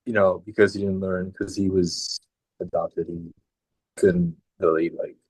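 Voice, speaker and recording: A man's voice: sits low, flat delivery, unhurried, darkish tone, slightly rough, no echo, low-quality recording. A man whose first language was Irish, speaking as a student in an online class in a rambling, hesitant way with many restarts. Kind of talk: casual class discussion. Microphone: video call.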